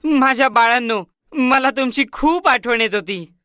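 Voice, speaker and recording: A woman voicing a poor, gentle, loving woodcutter father in a children's fairy tale. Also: sits high, measured pace, clean tone, dry recording, clean studio sound. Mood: tender.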